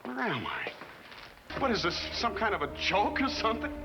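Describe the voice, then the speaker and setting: Insistent businessman, lively pitch. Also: normal speed, heavily acted. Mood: bewildered, agitated. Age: 30s. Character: bewildered, insistent businessman of thirty-six. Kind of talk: 1960s TV drama acting. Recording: old TV soundtrack with music.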